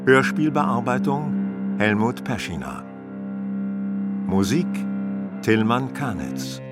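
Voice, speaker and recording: A man's voice: medium pitch, lively intonation, takes it slowly, over loud music. A man reading the title and credits of a radio play as a scripted announcement.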